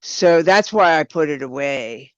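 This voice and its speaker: Older lady w a low clear smooth voice, chatting as an interview guest, dry kinda dull audio. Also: lively intonation, a little slow, no room sound. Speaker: guest, writer and director. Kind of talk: conversational interview answers.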